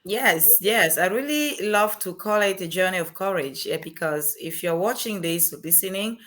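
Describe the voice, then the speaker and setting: A woman's voice: on the low side, lively intonation, normal speed, a bit bright, a little hiss or hum. A young woman from Cameroon with a French-Cameroonian accent, speaking as an earnest, motivational guest on an interview show. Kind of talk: interview chat.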